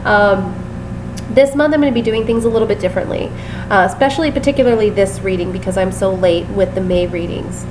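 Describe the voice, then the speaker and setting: A woman with a stuffy, nasal voice from a sinus infection talks through a tarot reading in a relaxed, apologetic way, filling gaps with "um" and "uh". Her voice sits mid-pitched, and a clear steady hiss runs under the home recording. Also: fairly quick. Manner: apologetic, chatty, many fillers. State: sick with a sinus infection, sniffly. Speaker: tarot reader. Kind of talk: casual tarot reading to camera.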